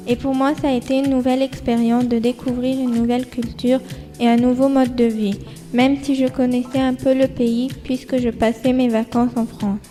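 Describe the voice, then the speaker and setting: A woman from Egypt, a student guest, reading a prepared personal account carefully and in a measured way. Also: a little high, little pitch movement, mellow, soft background music.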